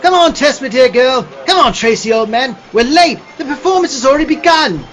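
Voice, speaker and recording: A man playing a fussy, excitable theatrical old gentleman in a comic radio play, pompous and full of old-fashioned exclamations. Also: very high voice, brisk. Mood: hurried, grandiose.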